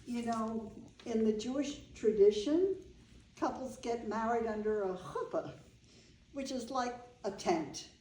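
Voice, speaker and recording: A woman's voice: a little high, lively intonation, unhurried, dark tone, slight background noise. A woman reading the part of an older bride in a staged play, wry and fondly reminiscing. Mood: tender. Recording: roomy, echoing live room recording.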